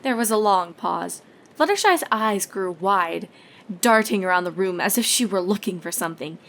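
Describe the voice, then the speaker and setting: A woman's voice: medium pitch, expressive, moderate pace, fairly bright tone. A woman reading scripted story narration as the narrator of a fan fiction tale.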